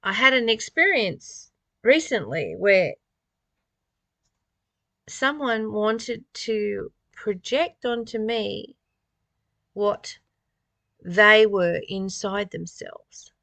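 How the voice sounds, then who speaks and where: A woman's voice: mid-range voice, lively intonation, extremely slow, gravelly, pauses often, pushed and bright, no echo. A woman reading spiritual text aloud and commenting on it informally in a reflective, musing way, often posing rhetorical questions. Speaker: spiritual study reader and commentator.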